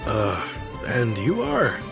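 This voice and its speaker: A man playing a polite, hesitant, disapproving townsman in a video game, speaking in a measured way. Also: mid-range voice, wildly swooping pitch, gravelly, over loud music, exaggerated voice. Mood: skeptical. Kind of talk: video game character acting.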